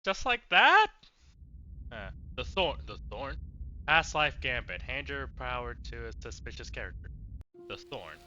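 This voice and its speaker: A man's voice: mid-range voice, very animated, unhurried, pushed and bright, muffled recording, a little hiss or hum. A man doing casual, sweary let's play commentary, reacting with exasperation as he streams. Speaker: video game streamer.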